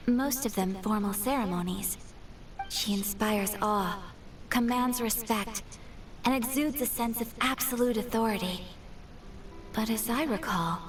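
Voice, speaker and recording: Woman voicing a high, poised young noblewoman. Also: distant mic, natural voice. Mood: solemn. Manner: formal, measured, refined. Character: poised, gracious young noblewoman, gentle and earnest. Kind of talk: scripted game dialogue.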